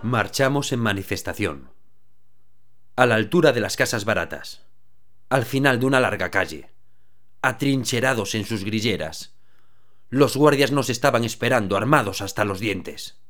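A man narrating a radio drama in the first person, telling the story in a steady, even way. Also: on the low side, moderate pace, pauses often.

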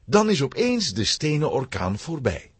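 A man narrating a children's adventure audio drama in an animated, suspenseful manner. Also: medium pitch, normal speed, soft background music. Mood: lively, dramatic.

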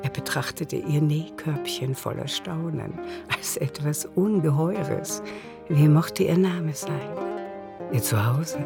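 A woman narrating a literary radio play in the third person, with measured composure. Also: low-pitched, creaky, loud music behind the voice, full character voice. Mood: composed.

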